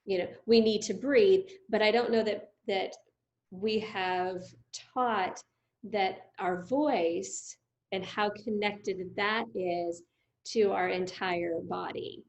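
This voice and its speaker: Female host, middle-aged, conversational interviewer, medium pitch. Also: fairly even intonation, takes it slowly, highs cut off. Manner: chatty, affirming, many fillers.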